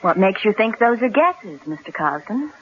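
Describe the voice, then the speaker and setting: A woman playing a worried, sharp-tongued newspaper secretary in a radio drama, anxious and sardonic. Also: mid-range voice, very animated, moderate pace, close to an everyday voice. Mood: wary. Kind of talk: 1970s radio drama acting. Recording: thin, phone-like old radio broadcast.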